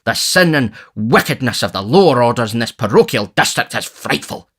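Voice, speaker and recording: A man's voice: mid-range voice, expressive, moderate pace, fairly bright tone, husky. Self-important male beadle acted out by a man, stern, emphatic, outraged by nature, audiobook character. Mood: indignant, stern. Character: pompous, indignant, domineering parish beadle, male.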